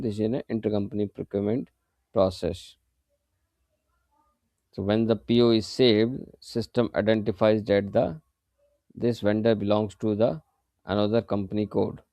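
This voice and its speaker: A man narrating a step-by-step software tutorial in a plain, methodical, explanatory way. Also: fairly low pitch, no echo. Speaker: software instructor.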